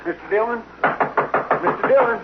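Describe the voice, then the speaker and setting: An actor playing a deferential, earnest deputy in an old-time radio western, speaking respectfully. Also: male voice, very high voice, moderate pace. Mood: anxious, urgent. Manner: respectful, earnest, eager to report. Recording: dull vintage radio recording, faint noise.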